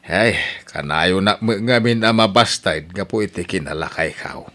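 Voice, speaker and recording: An actor playing a vain, easily flustered elderly grandpa with an Ilocano accent in a comedic radio-style drama, exclaiming and muttering theatrically. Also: male voice, sits low, normal speed, pushed and bright. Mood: eager. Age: older.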